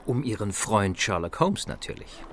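An actor playing a coolly polite armed henchman in a radio drama, formal and quietly menacing. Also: on the low side, sing-song, normal speed, slight background noise. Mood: polite menace.

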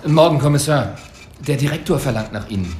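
A man playing a frightened, worn-down police lieutenant in a radio play. His mid-pitched voice is glum and fretful, set in an echoey room with faint music. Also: animated, fairly quick, darkish tone, natural voice. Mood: urgent. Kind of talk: radio drama dialogue. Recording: echoey room, slightly off the mic.

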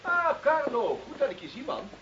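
A man's voice: very high voice, big pitch swings, normal speed, bright, forceful tone, heavily acted. An actor playing a jovial, persuasive businessman in a radio drama, chummy in manner. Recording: dull, faintly hissy old radio recording.

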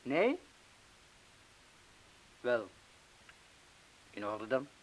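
An actor playing a steady, protective bomb disposal man who gives terse instructions in a radio drama. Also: medium pitch, extremely slow, heavily acted, rough recording. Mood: urgent, commanding. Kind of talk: radio play acting. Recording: hissy old radio play recording.

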